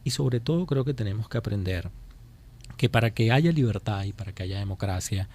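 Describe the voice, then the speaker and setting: A Venezuelan man giving political commentary as a radio interview guest, speaking emphatically and deliberately and repeating phrases to drive points home. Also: on the low side, animated, darkish tone. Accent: Venezuelan Spanish. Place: radio studio.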